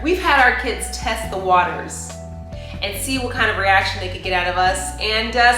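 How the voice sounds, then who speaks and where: Female co-host, middle-aged, casual and expressive, bright voice, faint music behind. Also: mid-range voice. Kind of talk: casual chat show talk. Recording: very echoey room, faint music.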